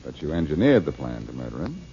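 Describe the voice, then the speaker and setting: A suave deep-voiced detective, smooth and sure, old dull radio sound. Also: male voice, wildly swooping pitch, quick pace, dark tone, slight background noise, heavily acted. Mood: cool. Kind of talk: 1940s radio acting.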